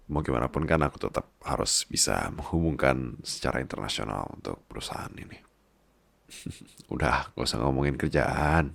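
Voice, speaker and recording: A man performs a worried, babyish boss husband with an exceptionally deep voice. He coaxes and teases tenderly in an intimate ASMR roleplay. His speech has a creaky fry and is recorded very dry and close. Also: no room sound, heavily acted. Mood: relaxed, playful. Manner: tender, coaxing, playful teasing. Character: doting, worried boss husband, big-baby clingy and teasing. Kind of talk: intimate ASMR boyfriend-style roleplay in casual talk.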